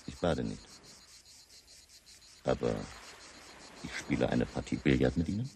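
Curt suspicious german, super low voice, dry delivery, radio drama, bit of background noise. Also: male voice, lively intonation, a little slow, vocal fry, darkish tone, full character voice. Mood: dryly reserved. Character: reserved, suspicious German hotel guest reading Nietzsche.

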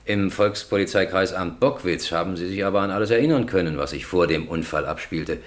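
An actor playing a stern, impatient presiding judge in a radio crime drama, questioning formally and insistently. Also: male voice, low voice, fairly quick, lightly acted.

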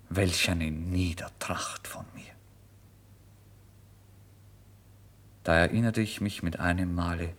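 A starving, feverish man with a lowish voice, proud and volatile. He tells his own story as a dramatic literary radio monologue. His delivery is theatrical and intense, able to move between hushed confession and forceful declaration. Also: slightly rough, full character voice. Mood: hushed, tender. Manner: theatrical, intense, swinging from hushed to loud outbursts. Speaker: first-person narrator.

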